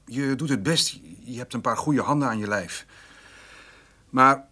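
Proud gruff farmer, stubborn, blunt, husky voice, radio drama. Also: male voice, mid-range voice, unhurried, bright, forceful tone. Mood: proud, firm.

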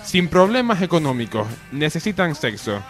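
High-pitched Spanish man hosting comedy radio. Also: very animated, moderate pace, faint music behind. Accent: Spain. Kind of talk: casual comic banter.

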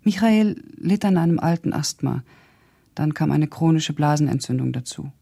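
An actress playing a blunt, sardonic older woman with a husky, gravelly voice, indignantly loyal to an old friend, recounting memories in a German radio drama. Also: low voice, expressive, warm tone, heavily acted. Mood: earnest, reminiscing. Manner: blunt, sardonic, deliberate storytelling.

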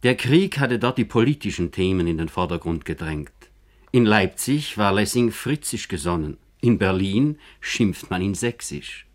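Low-voiced male radio narrator. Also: animated, moderate pace. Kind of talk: scripted documentary radio narration.

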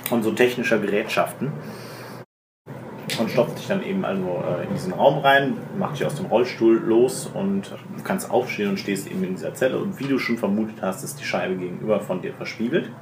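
Male game master for tabletop session, deep-leaning voice, improvised storytelling, echoey noisy group recording. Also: fairly quick. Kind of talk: improvised narration of a tabletop role-playing game. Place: room with other players at a table.

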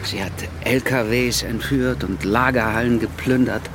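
A man's voice: mid-range voice, steady tone, normal speed, noisy background, natural voice. A man playing an efficient, obliging assistant who briefs his employer in a radio drama. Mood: brisk, informative. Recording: music bed underneath.

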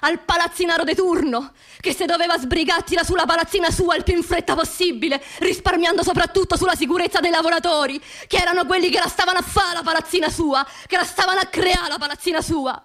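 A woman's voice: very high voice, fast, hardly any pauses, fairly bright tone. An actress playing an angry, grieving working-class Roman woman in a theatrical radio monologue, colloquial and bitter, demanding justice. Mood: anguished outrage. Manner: impassioned, colloquial, bitter rhetorical questions.

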